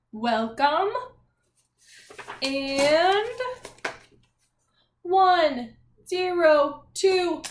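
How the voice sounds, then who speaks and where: Woman, primary school teacher, high-pitched voice, unhurried delivery, singsong and encouraging, children's read-aloud lesson. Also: slight background noise. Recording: echoey room.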